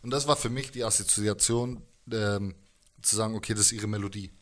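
A middle-aged man telling a personal story as an interview guest, thoughtful and halting, in colloquial words. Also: lowish voice, steady tone, fairly bright tone. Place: indoors, living room with instruments. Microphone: handheld microphone held close.

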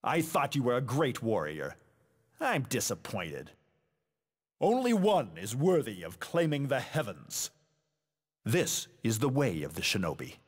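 Casting: menacing, disdainful fighting-game warrior. Husky, hugely animated pitch, grand haughty delivery. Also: male voice, unhurried, big-room sound, heavily acted. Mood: cold disdain. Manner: haughty, declamatory, dramatic. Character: proud, menacing adult male warrior, disdainful of weaker foes. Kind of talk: video game fighting voice clips, theatrical.

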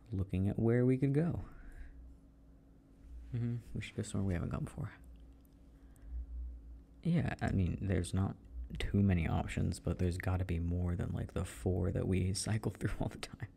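Low, gravelly young man, relaxed and chatty. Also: unhurried, long pauses, a little hiss or hum. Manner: relaxed and chatty, laughing softly, often trailing off to mutter. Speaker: audio roleplay performer. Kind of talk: casual boyfriend roleplay, talking to the listener. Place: at home at a computer.

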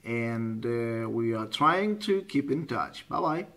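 Russian guy on ham radio, talks slow, hesitates lots looking for words. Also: mid-range voice, animated, a bit bright, slight background noise. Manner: halting, hesitant, searching for words. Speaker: amateur radio operator. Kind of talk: casual radio contact chat. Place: indoors at a computer running radio software.

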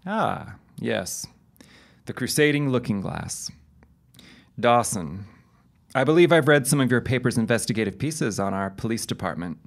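An actor playing a brisk, wry police detective in a period audio drama, with a formal, clipped way of talking. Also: male voice, medium pitch, lively intonation, vocal fry.

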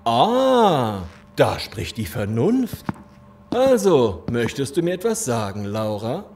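An actor playing a menacing, condescending gang leader with mock-courteous threats in a German audio drama. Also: male voice, mid-range voice, big pitch swings, slow pace, a little mellow, slight background noise.

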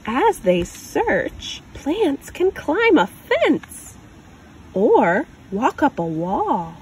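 A woman in her thirties hosting a children's story time, reading a picture book aloud outdoors with expressive, deliberate emphasis on words. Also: high voice, rough recording. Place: outdoors on grass. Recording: clear outdoor ambient noise.

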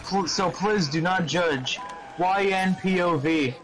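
A man talking and reading aloud in casual game voice chat, halting and sweary. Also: sits high, noisy background. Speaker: player reading fan fiction aloud in an online game.

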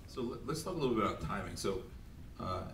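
A man moderating an onstage panel discussion, asking quick, loosely phrased questions into a mic. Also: on the low side, animated, fairly bright tone, slight background noise. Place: stage in a large event hall. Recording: roomy hall audio from a far camera.

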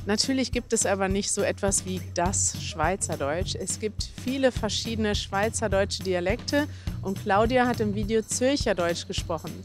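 A young woman presenting a language lesson on a busy city street, talking clearly and upbeat into a handheld mic. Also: on the high side. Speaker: host.

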